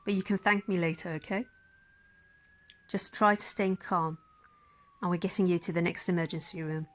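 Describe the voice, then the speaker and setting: A woman's voice: fairly low pitch, fairly even intonation, normal speed, close to an everyday voice. Tender, soothing woman doctor acted for ASMR roleplay. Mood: reassuring, tender. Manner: tender, soothing, flustered, dropping into breathy whispers. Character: caring, smitten female emergency physician tending a crash patient. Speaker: roleplay voice performer. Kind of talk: ASMR roleplay acting, intimate one-sided dialogue.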